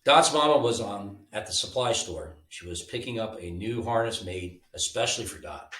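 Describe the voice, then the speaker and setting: A man with a lowish voice reads a children's bedtime story, his pitch moving in lively swings. He is informal and animated. The recording is roomy, with the mic at a distance. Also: moderate pace. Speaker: storybook reader.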